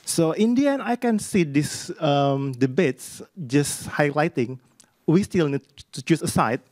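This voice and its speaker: A man's voice: on the high side, animated, a little mellow, no room sound. Articulate man asking a question from the floor. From Indonesia. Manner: measured, articulate. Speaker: audience member asking a question.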